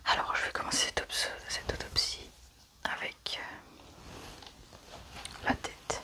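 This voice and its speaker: A person whispering throughout in an ASMR medical roleplay, calmly and methodically narrating each step as an examiner. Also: slow. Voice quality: soft unvoiced whisper.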